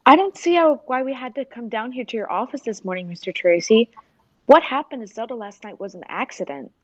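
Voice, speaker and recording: Radio drama tiger trainer female player: snippy, defiant, very expressive, on a slightly dull recording. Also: fairly high pitch, brisk. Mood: defensive. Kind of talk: 1940s radio drama acting.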